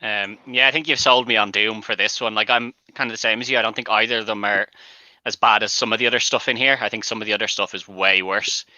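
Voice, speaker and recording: A man's voice: on the low side, fairly even intonation, quick pace, pushed and bright, no echo. A man giving his opinions as a guest in a casual panel discussion. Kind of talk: casual chat. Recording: dry, dull lo-fi audio.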